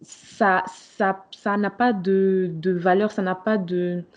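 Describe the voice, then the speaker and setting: A woman's voice: mid-range voice, fairly even intonation, slow. Frank woman on a dull, lo-fi line. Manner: frank advice-giving with frequent restarts. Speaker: podcast co-host. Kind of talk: casual chat.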